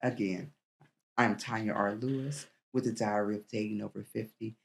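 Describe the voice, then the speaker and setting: A woman in her sixties hosts an interview podcast. She keeps up a steady stream of affirmations while her guest talks, then reads a scripted sign-off. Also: a bass voice, moderate pace, vocal fry. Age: 60s. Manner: warm affirmations, reads closing remarks. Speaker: podcast host.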